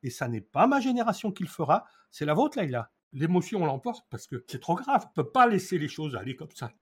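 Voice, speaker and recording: A man's voice: on the high side, very animated, a little fast, breathy edge. A man in his sixties, an interview guest from France who talks about housing in a reflective way, stalling often and then pressing his points hard. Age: 60s. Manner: hesitant, many restarts, then emphatic bursts.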